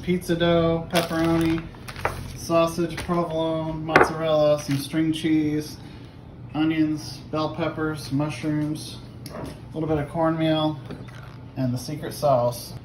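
A man's voice: on the high side, completely flat delivery, takes it slowly. A middle-aged man casually walking through a recipe in an offhand, unpolished way, recorded on a phone in a home kitchen. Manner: unpolished, offhand step-by-step delivery. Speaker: home cook presenting a recipe. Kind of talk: casual cooking demonstration. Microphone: phone camera held nearby. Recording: clearly noisy home kitchen video.

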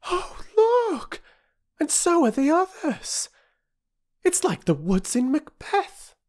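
A man reading a storybook aloud and voicing Bobby, an alert, excitable older sister, in a high, breathy voice. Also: takes it slowly, heavily acted. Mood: excited. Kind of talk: audiobook character voice.

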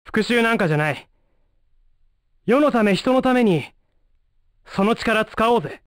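An actor playing a brash, cocky young male fighter in a Japanese fighting game, speaking in rough, breezy slang. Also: high voice, lively intonation, a little slow, dry recording, clean studio sound. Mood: persuasive, confident.

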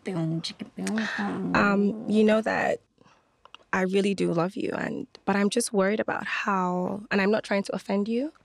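A woman's voice: medium pitch, fairly even intonation, a little slow, creaky, long pauses, no room sound. A woman, an actress guesting on a podcast, improvising comic scenes in a theatrical, giggly way. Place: studio set with red wood walls. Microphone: studio mic on a boom arm.

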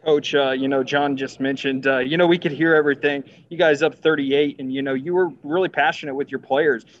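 A man's voice: a little high, fairly even intonation, a little fast. A man, a TV sports reporter, politely asking questions on a video call with many fillers. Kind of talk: press conference questions. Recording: dull lo-fi call audio with hiss.

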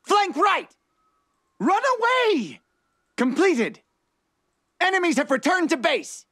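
High-pitched manic little fox scientist. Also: male voice, sing-song, slow, sharp tone, no echo, studio quality, heavily acted. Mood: theatrical alarm. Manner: exclamatory, theatrical short barks. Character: manic little fox mad scientist, male, mischievous and excitable. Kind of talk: video game character voice lines.

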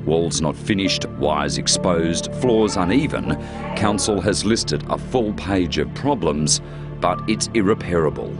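A man with an Australian accent narrates a television news report. His voice is low and his delivery is scripted, with loud music running underneath. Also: unhurried.

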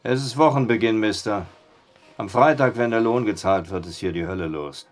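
An actor playing a chatty, joking small-town bartender with a casual, wisecracking manner in a German audio drama. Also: male voice, fairly low pitch, normal speed, faint music behind, lightly acted.

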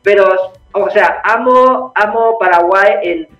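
A man's voice: high voice, slow, a bit bright. A middle-aged man from Taiwan, an actor joining an interview by video call, laughing often and hesitating with many fillers. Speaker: actor guest. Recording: echoey lo-fi video call with faint music.

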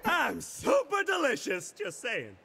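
A man voicing a zany, chirpy hamburger mascot in a video game, squeaky with a gravelly edge and an over-the-top, exclamatory showman delivery. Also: wildly swooping pitch, slow pace, pushed and bright, a little hiss or hum, exaggerated voice. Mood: zany, cheerful. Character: manic, zany, chirpy cartoon hamburger fast-food mascot warrior. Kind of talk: video game character voice lines.

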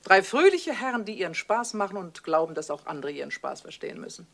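An actress playing a bitter, suspicious middle-aged wife in a German crime radio play, asking pointed, sarcastic questions. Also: female voice, mid-range voice, very animated, fast talker, vocal fry, fairly bright tone, heavily acted. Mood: scornful, probing.

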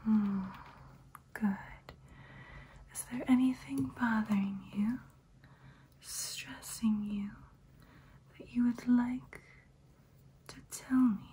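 A young woman voicing a soothing, nurturing vampire in a breathy ASMR whisper, gentle and reassuring. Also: on the high side, steady tone, slow, long pauses, heavily acted. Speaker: ASMR roleplay host. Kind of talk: whispered ASMR personal attention roleplay.

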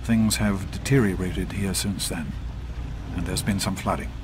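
A middle-aged scientist father in a video game, earnest and caring. His voice sits low and his words are measured and articulate, with clear noise behind them. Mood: explanatory. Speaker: video game character actor. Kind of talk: scripted game dialogue.

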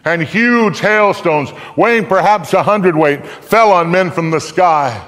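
An older man giving a theatrical live recitation of scripture in a solemn, deliberate, emphatic manner. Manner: solemn, deliberate, emphatic, swelling from hushed to booming. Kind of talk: live dramatic reading, theatrical storytelling recitation. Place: theatre stage before a live audience. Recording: echoey theatre hall, long reverb.

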